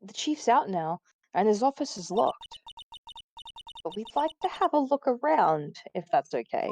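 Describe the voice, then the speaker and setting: A woman playing an eager, supportive young female sidekick in a live game dub, expressive and encouraging. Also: mid-range voice, unhurried, a little hiss or hum, low-quality recording. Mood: curious, hopeful. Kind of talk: live fan dub of a visual novel game.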